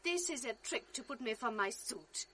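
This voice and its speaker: An actress playing a gentle young noble wife in a radio drama, speaking earnestly and pleadingly. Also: female voice, on the high side, expressive, normal speed, slight background noise. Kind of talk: 1980s radio drama acting.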